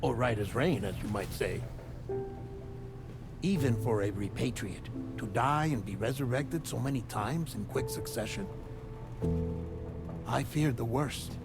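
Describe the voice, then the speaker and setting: A man's voice: medium pitch, a little slow, creaky, long pauses, over music. An actor playing a confident, genially wordy male doctor in a video game, chatty and reassuring. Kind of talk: video game cutscene acting.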